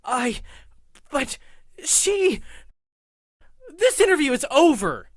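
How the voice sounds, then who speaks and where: A man playing an excitable, defensive, bragging young man in a comedic cartoon parody. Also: very high-pitched, takes it slowly, dry recording, studio quality, full character voice. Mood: indignant.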